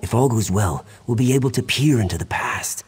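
A man playing an eccentric, excitable, cheerfully careless mage scholar in a fantasy video game, theatrical and given to muttering. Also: mid-range voice, brisk, slight background noise, close to an everyday voice. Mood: absent-minded, hopeful.

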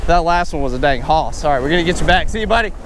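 A man giving excited, casual commentary while surf fishing on an ocean beach, breaking into whoops and exclamations. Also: fairly high pitch, rapid-fire. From Alabama. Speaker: fishing vlogger. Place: open ocean beach outdoors. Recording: outdoor beach recording with clear surf noise. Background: surf and waves.

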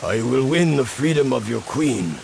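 A man's voice: medium pitch, expressive, moderate pace, vocal fry, a little mellow, slight background noise. An actor playing an earnest, weary older male champion in a video game cutscene, speaking formally. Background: music.

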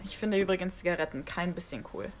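A woman's voice: lowish voice, fast talker, a little hiss or hum, close to an everyday voice. An actress playing a feisty, defiant young woman in an audio drama, cheeky and colloquial. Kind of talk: naturalistic audio drama acting.

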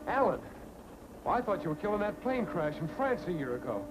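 An actor playing the hero's eager American twin brother, questioning keenly in 1940s film serial style. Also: male voice, high voice, big pitch swings, brisk. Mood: amazed. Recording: noisy old 1940s film soundtrack.

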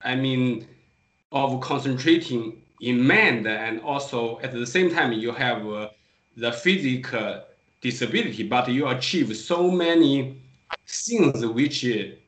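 A man's voice: mid-range voice, lively intonation, takes it slowly, a bit bright. Chinese accent guy on a video call, hesitant, roomy echoey sound. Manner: hesitant, searching for words. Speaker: kung fu teacher. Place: small room indoors.

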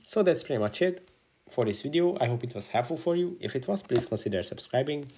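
A man's voice: mid-range voice, expressive, fairly quick, mellow. A man narrating a how-to tutorial as the host, in a scripted instructional style.

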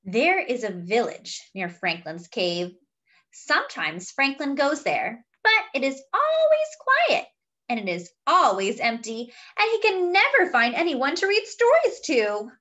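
A woman reading a picture book aloud to young children, with expressive, drawn-out delivery and chatty asides. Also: high voice, muffled recording.

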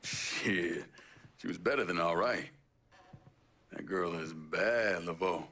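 An actor playing a stoic, principled young American war veteran in a dramatic video game. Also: male voice, deep voice, slow, sharp tone, heavily acted. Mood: casual, approving. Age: young adult.

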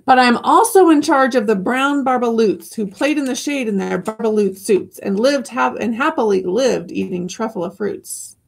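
A woman voicing a grumpy small male forest creature who scolds in indignant rhymes, with a husky, gravelly voice. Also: fairly high pitch, moderate pace, few pauses. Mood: scolding. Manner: scolding, indignant rhyming complaints.

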